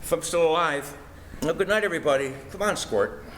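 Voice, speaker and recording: A man's voice: a little high, expressive, brisk, lightly acted. A man playing a sarcastic, exasperated teenage older brother who grumbles and whines, in an old-time radio comedy. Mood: sarcastic grumbling. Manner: whining, exasperated. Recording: echoey room with clear background noise.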